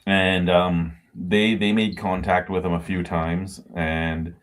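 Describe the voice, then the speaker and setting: Forties guy, lowish voice, rambly storyteller, swears a lot, on a video call. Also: level tone, slow. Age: 40s. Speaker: podcast co-host. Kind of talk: casual chat.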